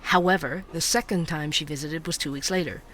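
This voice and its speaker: Higher-voiced male podcast investigator. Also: fairly even intonation, a little fast, sharp tone, a little hiss or hum. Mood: focused, explanatory. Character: thoughtful male true-crime podcast host, inquisitive, methodical. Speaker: podcast host. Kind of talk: scripted investigative podcast narration.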